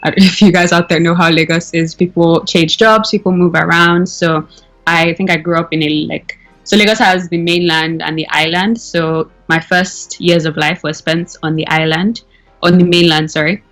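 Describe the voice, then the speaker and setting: A woman's voice: lowish voice, steady tone, normal speed, a bit bright, faint music behind. A young woman from Nigeria, talking casually as a caller, with lots of fillers and self-corrections. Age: young adult. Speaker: anonymous caller. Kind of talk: casual interview.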